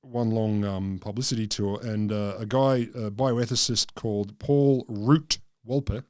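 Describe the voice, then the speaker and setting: A middle-aged man co-hosting a casual science podcast, explaining from notes in a halting, dryly joking, sweary way into a close microphone. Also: lowish voice, fairly even intonation, a little mellow, no room sound. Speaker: podcast co-host. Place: small book-lined room, indoors. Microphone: close podcast microphone, headphones.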